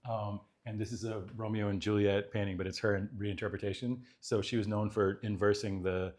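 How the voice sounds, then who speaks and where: A man's voice: lowish voice, level tone, brisk, darkish tone. A man from Boston, an art collector, talking casually in his apartment, rambling with many fillers and restarts. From Boston, Massachusetts. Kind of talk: casual conversation.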